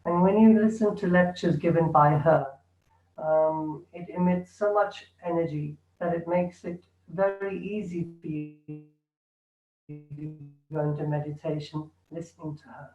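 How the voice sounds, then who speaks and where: A man leading an online meditation session, speaking in a calm, gentle and inviting way over a video call. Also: fairly high pitch, unhurried, faint music behind. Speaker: meditation group leader. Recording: echoey, distant, tinny video call audio.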